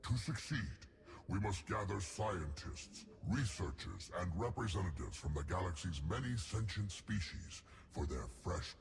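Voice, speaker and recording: Fussy, erudite male alien scientist for a game. Very low, creaky voice, formal delivery, faint noise. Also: a little mellow, exaggerated voice. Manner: formal, verbose, deliberate. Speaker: alliance science chief. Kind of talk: scripted game character dialogue.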